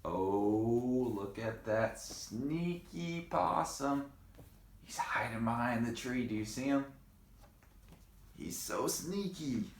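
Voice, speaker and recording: Animated, slow-paced male storyteller, roomy sound. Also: medium pitch, a little hiss or hum. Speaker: read-aloud storyteller for children. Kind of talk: animated picture-book read-aloud with chatty asides to kids. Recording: roomy, echoing room sound.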